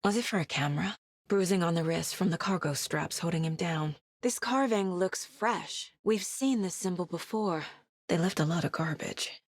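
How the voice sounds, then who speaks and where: A woman's voice: on the low side, dry recording. Determined female detective, close and dry. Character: determined female FBI agent and mother, sharp, inquisitive investigator. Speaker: video game voice actor. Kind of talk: video game dialogue, investigative monologue.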